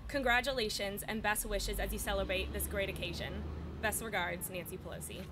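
A young woman, a spokesperson, reading a prepared letter aloud at an outdoor podium in a measured, formal way. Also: medium pitch, steady tone, very noisy. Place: outdoor press event on a city plaza. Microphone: podium mics from a short distance.

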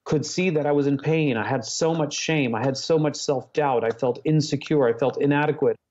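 A man's voice: on the high side, fairly quick, darkish tone. Earnest man in a muffled interview. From Hong Kong. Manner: earnest, candid, searching for words. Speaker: podcast guest, psychotherapist and Buddhist teacher. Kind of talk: reflective personal storytelling.

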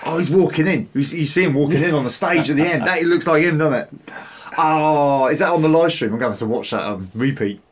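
A man's voice: fairly high pitch, fast. A man in his thirties hosting a casual reaction chat. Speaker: reaction show host.

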